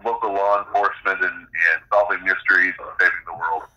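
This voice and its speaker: A man's voice: deep voice, little pitch movement, fairly quick, pushed and bright, lo-fi sound. A middle-aged man explaining his own creative work as a guest in a casual interview. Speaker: interview guest, game designer and author.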